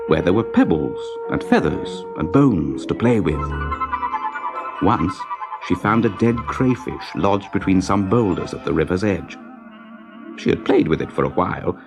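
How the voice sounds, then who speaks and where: A man narrating a children's story in a measured, dramatic, expressive manner. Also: sits low.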